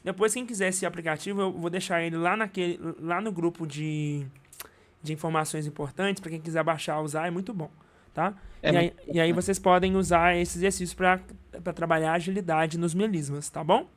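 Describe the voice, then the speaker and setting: Man, high voice, Brazilian Portuguese accent, singing teacher, casual rambling explanations. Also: fairly even intonation, fairly quick. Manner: casual and rambling, many restarts and tag questions. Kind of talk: live online mentoring class.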